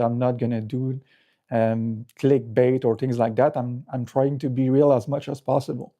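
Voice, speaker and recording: Casting: middle-aged male streamer, French Canadian accent, soft dark tone, halting unscripted delivery. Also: mid-range voice. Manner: halting, searching for words, repeats words. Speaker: livestream host. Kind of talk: casual unscripted livestream chat.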